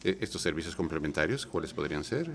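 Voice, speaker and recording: A man with a very deep voice interprets a conference panel on the fly. He halts, restarts and fills gaps with short sounds. The murmur of an audience is clearly heard behind him. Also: big pitch swings, a crowd in the background. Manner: halting, many fillers and restarts. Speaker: simultaneous interpreter. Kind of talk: live Spanish interpretation of a panel.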